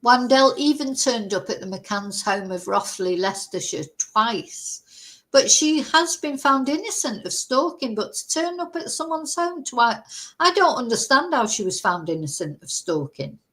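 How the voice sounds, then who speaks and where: A woman's voice: fairly high pitch, expressive, a little slow, a bit bright. A woman hosting a true crime livestream. She reads a news article aloud and keeps breaking off for chatty asides and self-corrections. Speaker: true crime stream host. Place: livestream at home.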